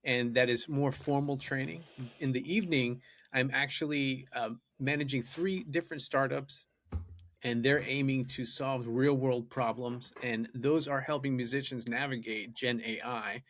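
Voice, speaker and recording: A man's voice: mid-range voice, steady tone, unhurried, fairly bright tone, a little hiss or hum. A man whose first language is Japanese, a podcast guest telling his story in an earnest, eager way. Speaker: guest, data scientist and musician. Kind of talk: podcast interview.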